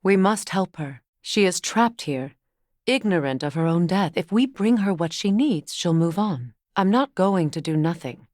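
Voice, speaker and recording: A woman playing a solemn, compassionate, determined ancient goddess in a video game, speaking in a measured, formal, earnest way. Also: on the low side, animated, a little mellow, dry recording, clean studio sound, natural voice. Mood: resolute. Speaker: video game character voice actor. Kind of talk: dramatic game dialogue.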